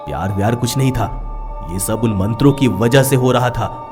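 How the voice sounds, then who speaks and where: A man's voice: mid-range voice, moderate pace, music in the background. A man narrating a scripted horror story in a dramatic storytelling style.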